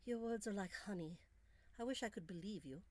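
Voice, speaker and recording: A woman's voice: mid-range voice, a little fast, no echo, natural voice. A woman voicing a bold, melancholy young Victorian Englishwoman in a storybook, candid and earnest, with formal, emphatic phrasing. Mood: self-doubting.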